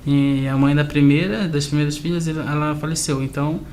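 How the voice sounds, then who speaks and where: Male interview guest, slightly high voice, conversational storytelling with frequent check-in tags, some background noise. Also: steady tone, brisk, a little mellow. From grew up between southern Brazil and Argentina.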